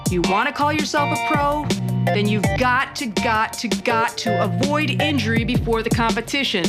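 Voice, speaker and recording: A woman's voice: fairly high pitch, normal speed, voice pushed up, close to an everyday voice. An American woman voicing a slangy, bossy skateboarder dude who coaches a would-be pro in a scripted monologue. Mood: scolding, goading. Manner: slangy, hectoring pep talk. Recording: loud guitar music under the voice. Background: guitar music.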